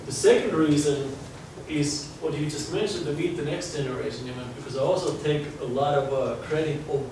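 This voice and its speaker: A man's voice: fairly high pitch, brisk. A man in his forties from Finland with a Finnish accent, giving a chatty guest lecture to students in a large lecture hall. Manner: chatty, conversational, restarts phrases. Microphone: far camera, no mic seen. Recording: echoey hall, distant mic, background noise.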